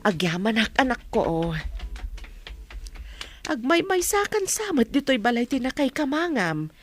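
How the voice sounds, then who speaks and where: A woman's voice: fairly high pitch, big pitch swings, a little slow, faint music behind. A woman with an Ilocano Filipino accent acting a meddlesome, easily offended village mother in a radio drama, exclaiming and questioning. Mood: aggrieved.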